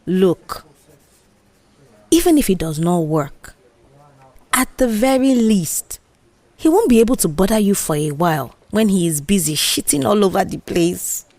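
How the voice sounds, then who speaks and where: A woman performs a bold young Nigerian office woman in an audio drama. She is mischievous and sure of herself, coaxing and brushing off objections in an animated, unhurried way. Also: mid-range voice, no echo. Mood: coaxing, scheming. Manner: animated, dismissive, persuasive. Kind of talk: audio drama character dialogue.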